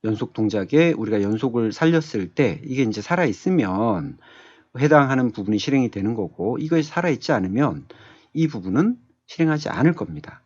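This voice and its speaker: Male tutorial instructor, conversational and thinking aloud; husky tone, dull close lo-fi recording. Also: mid-range voice, expressive, a little fast, a little mellow, no echo. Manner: conversational, thinking aloud, often checking with short tag questions. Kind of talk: screen tutorial, explaining step by step. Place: indoors, screen-capture voice-over.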